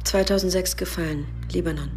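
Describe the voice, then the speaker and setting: A woman's voice: lowish voice, steady tone, fairly quick, natural voice. An actress playing a guarded, direct professional woman in a radio drama, speaking in brief phrases. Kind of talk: radio play dialogue. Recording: music behind dialogue.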